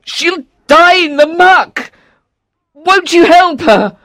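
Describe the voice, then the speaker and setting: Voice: high-pitched, fairly even intonation, slow, fairly bright tone. A performer voicing a desperate, pleading father in a storybook, with anguished, emphatic delivery.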